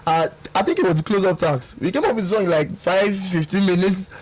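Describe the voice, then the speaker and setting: A man's voice: high-pitched, animated, fairly quick, poor audio. A young man, a confident guest on a radio interview who laughs often and talks himself up. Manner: boastful, upbeat, laughs often. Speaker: reality show ex-contestant guest. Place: radio studio.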